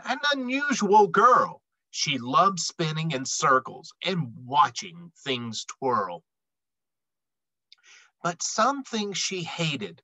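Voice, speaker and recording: Male storyteller, middle-aged, slow and animated, emphatic delivery for young children. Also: fairly high pitch, no echo, low-quality recording. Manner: emphatic, dramatic phrasing with deliberate pauses. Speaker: read-aloud storyteller. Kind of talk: expressive children's picture book read-aloud.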